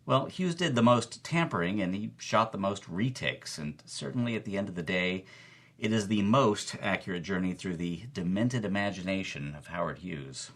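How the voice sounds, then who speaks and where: A man's voice: deep voice, lively intonation, normal speed, fairly bright tone. A man hosting as a storyteller, narrating with wry, emphatic delivery and punchy repetition. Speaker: podcast host, film club organizer.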